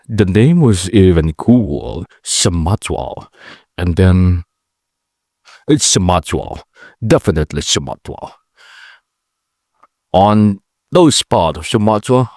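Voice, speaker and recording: A man's voice: low voice, darkish tone, no echo, clean studio sound. A man in his late twenties from Indonesia, speaking English with an Indonesian accent, rambling and correcting himself as he hosts his own vlog podcast. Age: late 20s. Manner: halting, self-correcting, chatty. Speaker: podcast host. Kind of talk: rambling solo vlog talk. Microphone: camera mic.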